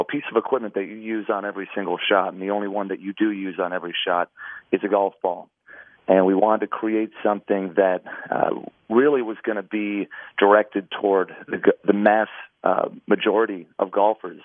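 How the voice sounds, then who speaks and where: A hoarse man with a raspy voice, a golf company co-founder talking as an interview guest, hesitant with many restarts. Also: on the low side, no echo. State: hoarse after three days of talking. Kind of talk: interview over a phone line. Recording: tinny dry phone line.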